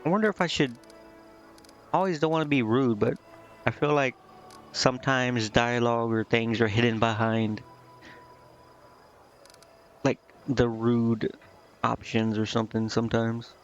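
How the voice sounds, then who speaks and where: A man's voice: medium pitch, steady tone, a little slow, pauses often. Man musing over game music, slightly dull sound. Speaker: game streamer. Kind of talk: casual game playthrough commentary, reading dialogue aloud.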